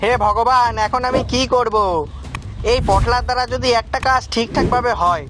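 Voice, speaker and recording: A man playing a greedy, envious village noodle seller in an animated story, with a scheming, melodramatic manner. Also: extremely high pitch, normal speed, heavy background noise. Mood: wailing. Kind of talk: animated moral story voice acting.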